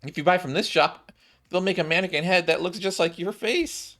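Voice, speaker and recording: Bubbly, gushing girlish young woman for a game man performing, raised pitch, bright and forceful. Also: moderate pace, faint music behind. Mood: amazed. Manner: gushing, excitable.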